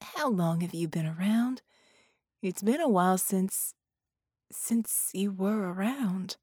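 A woman's voice: medium pitch, slow pace, dark tone, breathy edge, no echo. A woman voicing a weary, sardonic woman with frayed patience in an audiobook, blunt and dry in manner. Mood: hesitant.

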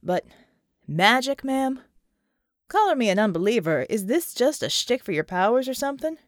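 A woman's voice: fairly high pitch, sing-song, normal speed, no echo, clean studio sound. A woman voicing a wary, skeptical ex-soldier man, blunt but polite, in an audiobook. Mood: unimpressed. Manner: clipped, folksy, incredulous, says ma'am.

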